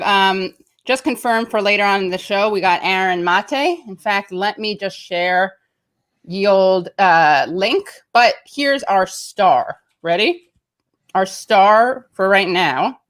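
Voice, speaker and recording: A woman's voice: mid-range voice, a bit bright. A woman hosting a casual online talk show, chatty and self-mocking, with a slightly nasal voice. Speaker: show host. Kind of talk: casual livestream banter.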